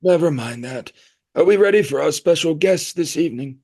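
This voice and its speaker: An actor playing a pompous, nostalgic, middle-aged leader of a secretive group in an audio play, speaking in a grandiose, rambling way. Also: male voice, on the high side, animated, normal speed, a little mellow. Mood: self-important.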